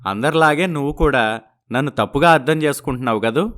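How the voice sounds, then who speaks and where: An actor playing a smooth-talking, evasive young husband in a story, placating and persuasive, dropping in English words. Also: male voice, a little high, brisk, a bit bright, natural voice. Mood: persuasive, explaining.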